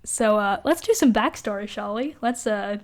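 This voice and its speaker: A woman's voice: medium pitch, lively intonation, moderate pace. A woman co-hosting a podcast in casual comedic banter, sarcastic and self-deprecating, happy to swear. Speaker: podcast co-host.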